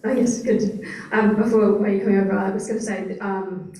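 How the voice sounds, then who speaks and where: Woman, panel moderator, gravelly voice, informal, far from the mic. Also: medium pitch, fairly even intonation, fairly quick, dark tone. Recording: roomy conference hall, mic far off.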